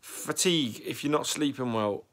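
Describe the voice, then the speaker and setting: A young man talking anxiously in a casual vlog, congested and a little raspy from a cold, clearing his throat often. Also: mid-range voice, normal speed, fairly bright tone. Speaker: vlogger.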